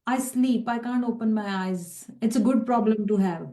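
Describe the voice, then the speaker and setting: A woman's voice: on the high side, moderate pace, dark tone, roomy sound. A woman hosting a live video chat, telling rambling stories in a chatty way and laughing easily. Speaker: host of a live interview. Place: sunny room at home in Melbourne. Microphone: video call.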